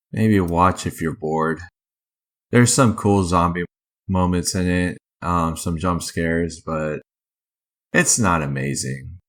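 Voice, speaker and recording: A man with a fairly low voice hosts a casual ranking video. He talks informally, giving his own opinions in a conversational review style with small qualifying asides. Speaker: video host ranking films.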